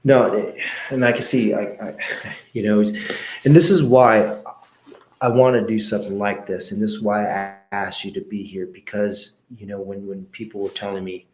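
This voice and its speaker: Male interview host, low voice, earnest and halting, in an echoey room. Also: fairly even intonation, a little mellow. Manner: earnest, halting with many fillers. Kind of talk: conversational interview.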